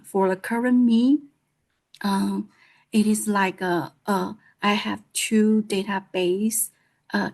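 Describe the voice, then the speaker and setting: Slow, halting woman with a Taiwanese Mandarin accent. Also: medium pitch, darkish tone. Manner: halting, frequent "uh" fillers. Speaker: librarian. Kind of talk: conference presentation.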